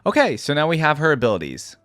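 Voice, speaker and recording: A woman's voice: low voice, huge pitch swings, quick pace, no room sound. A woman giving casual reaction commentary as a video host, close to the microphone.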